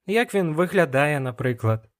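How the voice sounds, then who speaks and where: Man doing an anxious, high-voiced young apprentice magician. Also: expressive, normal speed, lightly acted. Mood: doubtful, questioning. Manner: high-pitched, breathless alarm, insistent questioning.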